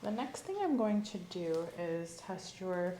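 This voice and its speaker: A woman leading an ASMR medical exam roleplay, speaking softly and often dropping to a whisper. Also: fairly low pitch, expressive, unhurried, roomy sound, a little hiss or hum. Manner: soft-spoken, drifting into whispers. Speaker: examiner in a roleplay.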